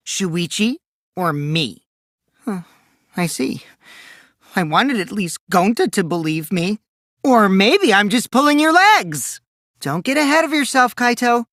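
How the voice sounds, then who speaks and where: A man's voice: high voice, fairly bright tone, dry recording, studio quality. An actor playing a mischievous teenage boy in an anime game dub, a gleeful liar who teases and taunts theatrically, shifting between singsong mockery and sly menace. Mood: needling.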